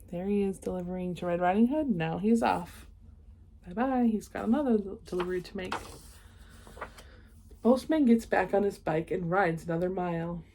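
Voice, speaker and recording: A woman reading a children's picture book aloud, expressive in the story and chatting casually and enthusiastically in asides. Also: on the low side, creaky. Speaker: read-aloud host.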